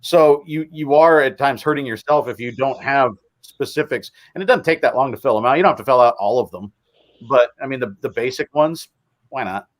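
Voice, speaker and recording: Relaxed man explaining with examples. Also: medium pitch, a little fast. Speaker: co-host. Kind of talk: casual livestream chat.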